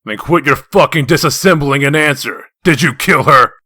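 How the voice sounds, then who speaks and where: A man voicing a gruff, foul-mouthed wolf detective in human form, blunt and sardonic, in a comic dub. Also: on the high side, normal speed, sharp tone, dry recording, studio quality. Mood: angry, accusing.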